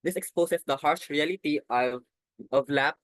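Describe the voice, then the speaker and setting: A young man giving a class presentation, halting and restarting phrases as he explains his slides. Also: a little high, a bit bright, no echo, poor audio. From Philippines. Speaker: student presenter.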